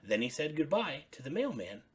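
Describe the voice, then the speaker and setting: A woman storyteller reading a children's bedtime story expressively, in simple, deliberate phrases. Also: sits low, very animated, a little hiss or hum.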